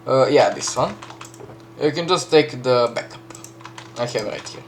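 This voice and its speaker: A man with a Serbian accent casually walking through a game map he built, chatty, full of fillers and quick self-corrections. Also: medium pitch, a little hiss or hum. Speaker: map creator giving a tour. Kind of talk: casual gameplay walkthrough.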